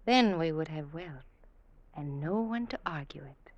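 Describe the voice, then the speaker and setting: An actress playing a sly, seductive wife in a classic radio drama, intimate and insinuating, often sinking toward a breathy whisper. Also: female voice, low-pitched, expressive, measured pace, muffled recording. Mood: coaxing, scheming. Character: sly, seductive, manipulative wife goading her husband.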